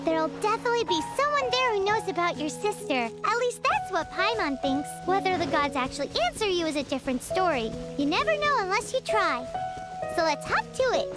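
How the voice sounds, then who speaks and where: A woman voicing a tiny, cheerful, childlike fairy guide in a video game, chirpy and squeaky. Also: very animated, fairly quick, loud music behind the voice. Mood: explaining, upbeat. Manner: chirpy, refers to herself in third person. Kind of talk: video game character dialogue.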